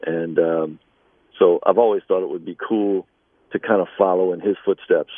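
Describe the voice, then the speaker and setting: A man speaking as an interviewed basketball coach, conversational and measured, with halting pauses. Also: sits low, fairly even intonation, dry recording, narrow, tinny audio.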